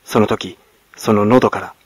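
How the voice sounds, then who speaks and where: A man from Kagoshima, Japan, narrating classic literature as a dramatic audiobook in a grave, measured manner. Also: lowish voice, steady tone, no room sound. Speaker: actor, narrator. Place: at home, close and dry.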